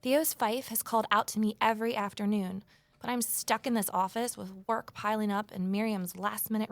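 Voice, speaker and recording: A woman's voice: medium pitch, gravelly, no echo, lightly acted. An actress playing a wry, curious office worker who narrates her own story in an audio drama. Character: wry, curious female former journalist, new office assistant. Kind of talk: audio drama, first-person narration and dialogue.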